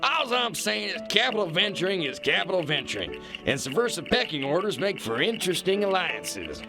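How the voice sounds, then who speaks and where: A man acts out a smug kingpin in a satirical audio play. The voice sits high for a man, swings widely and crackles with fry. He talks like a pompous, folksy dealmaker, over music. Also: big pitch swings, fairly quick. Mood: scheming, persuasive. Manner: pompous, folksy, wheeling and dealing. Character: smug, pompous male kingpin scheming over capital gain.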